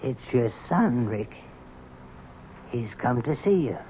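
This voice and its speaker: Doctor, muffled old radio. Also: male voice, mid-range voice, big pitch swings, takes it slowly, slight background noise. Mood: gentle, matter-of-fact. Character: prison doctor, plain and considerate. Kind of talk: 1970s radio drama acting.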